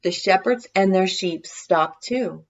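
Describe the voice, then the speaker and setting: A woman reading a children's story aloud in expressive scripted narration. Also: mid-range voice, slow pace, highs cut off. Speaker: storybook reader.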